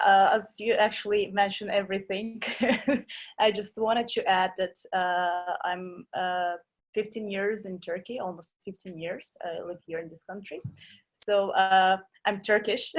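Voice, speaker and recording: A woman, a guest in a webinar interview, speaking hesitantly with many fillers and frequent small laughs. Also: mid-range voice. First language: Russian. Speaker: guest entrepreneur.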